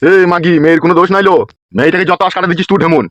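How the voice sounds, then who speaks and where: Man acting a high-voiced, blustering father-in-law. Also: steady tone, rapid-fire, a bit bright, dull audio, slight background noise. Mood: angry. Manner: blustering, scolding. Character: hot-tempered, foul-mouthed village father-in-law. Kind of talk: comedy cartoon dialogue.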